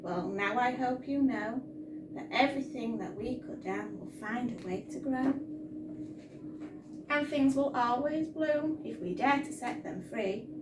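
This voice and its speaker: A woman's voice: a little high, measured pace, close to an everyday voice. A woman voicing a hopeful male chimpanzee hero in a children's storybook, earnest and rallying. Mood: gentle, wise. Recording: roomy, distant mic, noisy.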